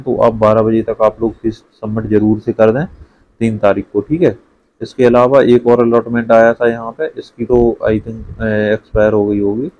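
A man's voice: fairly low pitch, darkish tone, poor audio. A young man giving a casual screen-share walkthrough as an education YouTuber, chatty and often adding "okay" to check in. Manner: chatty, repeats "theek hai" often.

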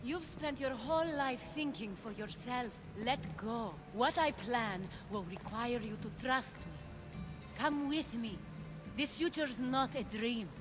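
An ambitious female visionary from a historical video game. Her fairly high voice is composed and deliberate, persuading with earnest conviction. Music plays clearly behind her. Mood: persuasive. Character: ambitious, visionary woman schemer of ancient Greece, composed and persuasive. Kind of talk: dramatic video game cutscene acting.

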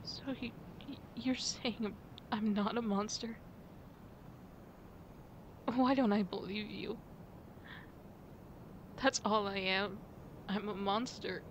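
A woman's voice: muffled recording, lightly acted. A woman playing a distraught, ashamed werewolf girlfriend in an ASMR roleplay, stammering and apologetic, with sighs breaking up her words. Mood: self-loathing. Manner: halting, stammering, sighing. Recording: steady rumbling background noise.

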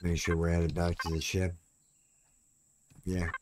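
Deep-voiced man narrating his gameplay. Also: level tone, unhurried, noisy background. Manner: chatty, talks to viewers while playing. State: throat clogging, clears throat. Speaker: gaming channel host. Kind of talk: casual gameplay commentary.

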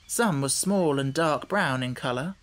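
A man reading scripted storybook narration as an audiobook narrator, measured and carefully articulated. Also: a little high, fairly bright tone.

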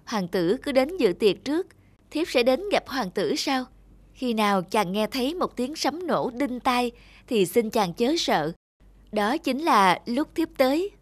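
A woman voicing a gentle, sorrowful young enchanted princess in a fairy-tale cartoon, speaking in a formal, courtly way. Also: fairly high pitch, sing-song, a little slow, no room sound. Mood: soothing.

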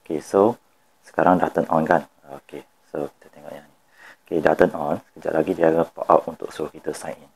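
A man's voice: a bass voice, steady tone, fast. A man with a Malaysian accent giving an informal step-by-step tutorial, repeating instructions and mixing in some English words. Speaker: product demonstrator. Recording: clear background noise.

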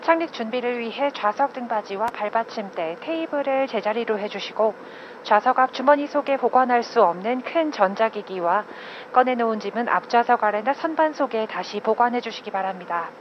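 A woman's voice: on the high side, animated, normal speed. A woman working as a flight attendant, reading a formal scripted announcement over the cabin PA. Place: aircraft public address system. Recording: muffled cabin PA with noise. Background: cabin noise.

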